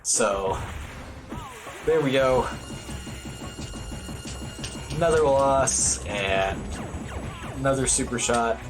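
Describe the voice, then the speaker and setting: A man's voice: mid-range voice, animated, extremely slow, long pauses. A man giving casual live commentary on his own speedrun, with wry, understated humor. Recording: loud game music behind voice. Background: video game music.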